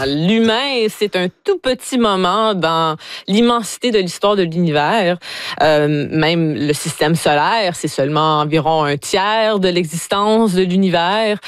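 A woman's voice: on the low side, clean tone, no room sound, studio quality. A woman astrophysicist explaining science on a radio show, enthusiastic and explanatory, with some hesitations. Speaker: astrophysicist guest.

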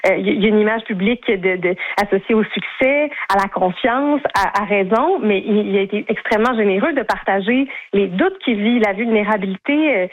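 A woman's voice: medium pitch, brisk, few pauses. A woman in her late thirties with a Québécois accent, a radio guest speaking thoughtfully over a muffled line, with many restarts. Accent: Québécois French. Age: late 30s. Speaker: guest, series creator. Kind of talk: radio interview.

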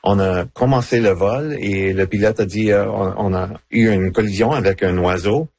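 A middle-aged man, a novelist whose first language is English, speaking French haltingly in a radio interview with many fillers and restarts. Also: fairly low pitch, darkish tone, slightly rough, no room sound. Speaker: novelist guest.

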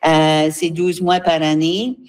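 A woman's voice: deep voice, little pitch movement, slow pace, darkish tone. A woman, explaining earnestly as an association representative in a spontaneous interview.

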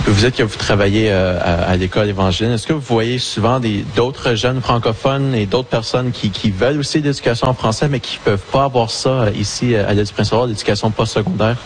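Halting male field reporter, lowish voice. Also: steady tone, a little hiss or hum. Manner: halting, frequent fillers and repeated words. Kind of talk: radio interview on location. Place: on location, live remote.

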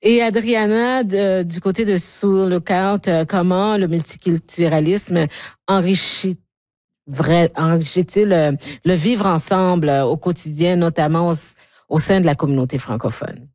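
A woman's voice: lowish voice, unhurried, a little mellow, dry recording. A woman hosting a radio interview, asking long questions with some fillers. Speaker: radio host.

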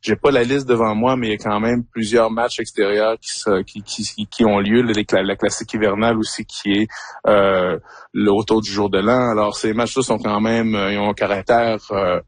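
Male sports guest, about 50, lower register, dry studio read, measured and searching. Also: flat delivery, no echo. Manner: thoughtful, searching for words, explanatory. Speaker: hockey commentator guest. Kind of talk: radio interview answers.

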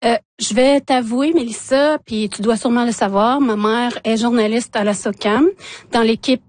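A woman in her forties with a Quebec French accent, her first language Innu, recounting her path into journalism with feeling. Her voice sits a little high and comes across dry and close. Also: normal speed, no echo. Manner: emotional, animated storyteller. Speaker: journalist guest. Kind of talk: personal storytelling in conversation.

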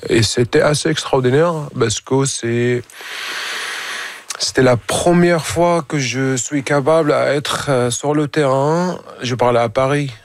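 A man's voice: mid-range voice, measured pace, no room sound. A man in his late twenties from Syria, speaking with a Syrian Arabic accent as an interview guest and searching for words in a learned language. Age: late 20s. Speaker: photojournalist guest. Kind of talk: reflective interview answers.

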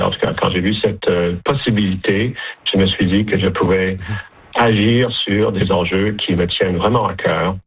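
Gravelly Canadian French man on a phone line. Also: deep voice. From Alberta, Canada. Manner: hesitant, many fillers and restarts. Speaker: interview guest, director general of a francophone federation. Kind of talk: radio interview answers. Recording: lo-fi phone-line radio with faint music.

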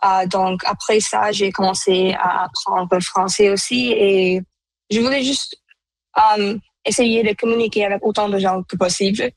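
A woman's voice: medium pitch, fairly even intonation, normal speed, no echo, low-quality recording. Punjabi-native young woman guest, dry. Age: 20s. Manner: thoughtful, hesitant with fillers. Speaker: university student guest.

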